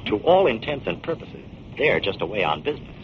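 An actor playing a confident, shrewd amateur detective in a 1940s radio drama, with a wry and persuasive manner. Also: male voice, lowish voice, big pitch swings, brisk. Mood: explanatory. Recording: muffled, noisy 1940s radio recording.

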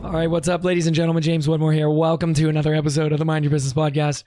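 Hoarse man, nearly voiceless. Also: a little high, flat delivery, very fast, mellow, a little hiss or hum. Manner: strained, pushing through pain. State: lost voice, hoarse. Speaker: podcast host. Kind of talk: casual solo podcast talk.

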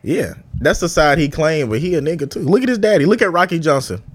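A man's voice that sits a bit high and swings widely in pitch, sounding blunt and insistent. He trades casual banter with friends and breaks into laughter often. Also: lively intonation, quick pace, no echo. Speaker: podcast co-host. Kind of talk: casual group chat.

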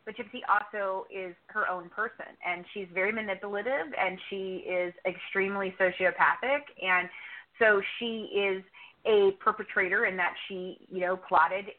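A woman's voice: mid-range voice, fairly even intonation, moderate pace. A woman giving long, explanatory answers as a podcast co-host being interviewed, talkative and conversational. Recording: narrow tinny phone line.

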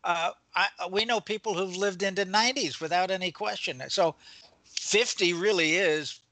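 Older guy hosting a chat show, bright voice, bit high, dry sound. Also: moderate pace, dry recording. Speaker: talk show host. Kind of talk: casual chat.

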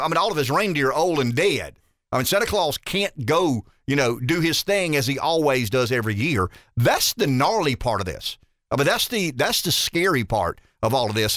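A man's voice: on the high side, dry recording, studio quality. A man in his fifties hosting a talk radio show, musing aloud and asking rhetorical questions. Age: 50s. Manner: animated, rambling, rhetorical questions.

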